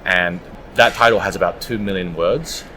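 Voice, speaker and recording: A man's voice: low-pitched, moderate pace, bright, forceful tone, a little hiss or hum, low-quality recording. A man giving conversational, explanatory answers as an audiobook producer being interviewed.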